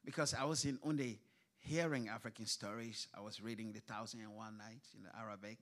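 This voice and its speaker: Panel speaker, man, thoughtful halting delivery, lots of ums, live event stage. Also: fairly low pitch, steady tone, fairly bright tone. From grew up in Kuwait. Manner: hesitant, many fillers and restarts. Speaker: writer on a literature panel. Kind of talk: panel discussion.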